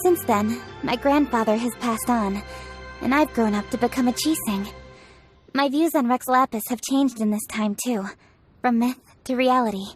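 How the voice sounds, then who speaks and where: A woman's voice: fairly high pitch, moderate pace, close to an everyday voice. A woman voicing a dignified, reflective young female official in a video game, formal and composed, with articulate phrasing. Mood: reflective, nostalgic. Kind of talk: video game character dialogue. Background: music.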